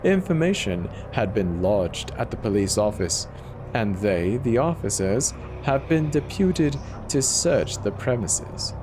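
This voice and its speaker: A man voicing a guilt-racked, paranoid murderer in a theatrical, deliberate dramatic horror reading with drawn-out pauses. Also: on the low side, very animated, background noise. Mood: smug, confident. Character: guilt-racked madman murderer confessing in growing paranoid agitation. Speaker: storyteller.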